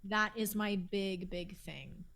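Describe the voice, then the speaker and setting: A woman's voice: medium pitch, takes it slowly, a bit bright. A woman, a singer guest, talking earnestly and enthusiastically in a casual interview.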